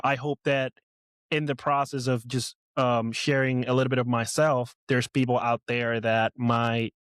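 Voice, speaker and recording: A man's voice: mid-range voice, clean tone, no room sound. A man in his twenties from Puerto Rico, hosting his own podcast in a rambling, nervous way with many fillers and restarts. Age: 20s. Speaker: podcast host. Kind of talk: casual personal monologue.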